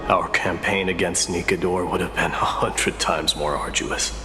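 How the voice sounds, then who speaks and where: A man voicing a proud warrior prince who speaks in a grave, measured way. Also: low-pitched, steady tone, natural voice. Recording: roomy reverb under loud music.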